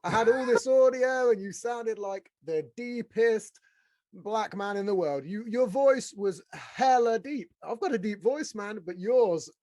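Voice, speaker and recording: A man hosting a podcast as a casual, blokey, excitable chat with plenty of swearing. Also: high-pitched, measured pace, no echo. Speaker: podcast host. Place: small studio room.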